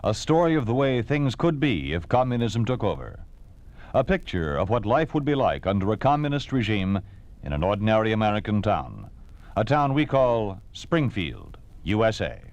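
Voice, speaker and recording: A male announcer introduces an old radio drama in a 1950s style. His voice is low, with wide dramatic swings in pitch. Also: very animated, moderate pace, a little mellow, highs cut off.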